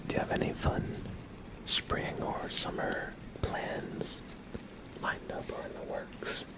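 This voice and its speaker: A young man hosting a relaxed ASMR livestream, mostly whispering and speaking softly in a reassuring, encouraging way. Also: takes it slowly, rough recording. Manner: soft-spoken, mostly whispering. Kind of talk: relaxed ASMR, chatting with viewers and reading tarot cards.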